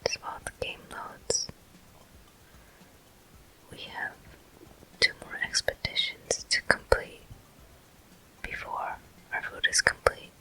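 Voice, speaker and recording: Slow whispered ASMR game commentator. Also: low-quality recording. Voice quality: breathy, unvoiced whisper. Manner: slow, gentle, deliberate delivery. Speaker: host of a gameplay walkthrough.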